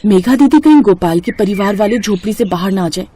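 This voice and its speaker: A woman's voice: medium pitch, lively intonation, fairly quick, warm tone, slight background noise, natural voice. A woman voicing a sensible, worried younger sister in a Hindi cartoon story, pleading and reasoning.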